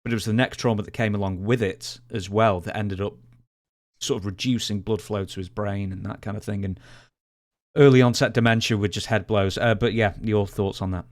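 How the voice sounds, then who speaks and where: A man co-hosting a podcast in a conversational, measured way, with some hesitant asides. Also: fairly low pitch, steady tone, dry recording, clean studio sound. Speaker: podcast co-host.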